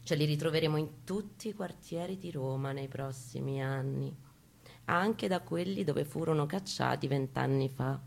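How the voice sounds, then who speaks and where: A woman giving a theatrical, expressive first-person reading from a book, in a storytelling style. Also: low-pitched. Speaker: reader performing a book excerpt. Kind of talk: dramatic first-person literary reading with guitar. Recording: live reading over acoustic guitar. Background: acoustic guitar music.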